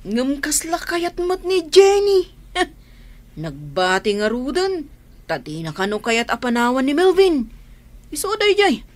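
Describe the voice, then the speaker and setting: A woman's voice: sits high, big pitch swings, a little slow, no room sound. A woman acting a nosy, outspoken young friend in Ilocano radio drama, probing and sceptical, with an Ilocano accent. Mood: worried, gossipy. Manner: probing, questioning.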